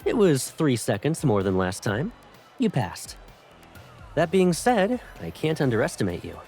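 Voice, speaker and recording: A man's voice: medium pitch, huge pitch swings, measured pace, darkish tone. A man voicing a teasing, devoted young captain and sweetheart in a romantic game fan dub. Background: music.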